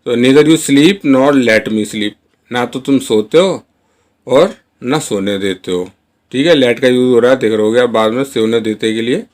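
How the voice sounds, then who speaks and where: A man teaching spoken English in a chatty, casual way, reading each example sentence very slowly and word by word. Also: medium pitch, a little mellow. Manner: chatty, addresses viewers as guys. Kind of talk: Hindi-medium spoken English lesson.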